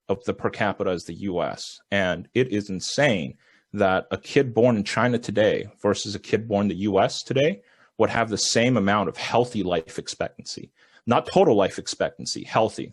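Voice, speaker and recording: A low-voiced man makes his case in a casual video-call panel, leaning on figures and constant fillers like "you know". The sound is dry and close, recorded through a headset. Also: husky, dry recording. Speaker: podcast panelist.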